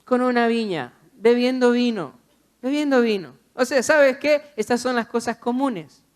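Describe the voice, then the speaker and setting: A man's voice: very high-pitched, a little slow, clear, resonant tone. Guy preaching a sermon, from honduras, urging people on, repeats himself and throws out rhetorical questions. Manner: exhorting, with repetition and rhetorical questions.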